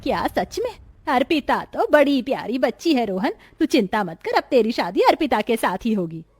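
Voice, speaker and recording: Woman acting animated, high-voiced matronly mother-in-law. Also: moderate pace, breathy edge, no echo, studio quality. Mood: delighted, reassuring. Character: kindly, authoritative Indian mother-in-law of the household. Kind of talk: cartoon family melodrama dubbing.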